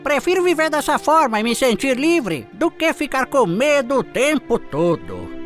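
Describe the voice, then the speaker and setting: A woman's voice: high voice, big pitch swings, moderate pace, faint music behind. A woman with a Brazilian accent voicing a humble, friendly male country mouse in a children's cartoon fable. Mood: upset, resolute. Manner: friendly, earnest, exclamatory.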